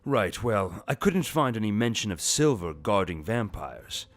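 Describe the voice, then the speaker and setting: A man's voice: on the low side, big pitch swings, a little slow, no room sound. A man voicing a bookish, stern male mentor with a put-on British accent, proper and exasperated. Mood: fussy, puzzled. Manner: proper, formal, scolding. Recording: music bed behind the voice.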